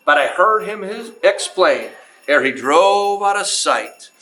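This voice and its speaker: An American man reads a holiday poem aloud like a storybook, with dramatic phrasing and pauses. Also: high voice, big pitch swings, bright, forceful tone, poor audio. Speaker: mayor reading a holiday story to viewers. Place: children's museum.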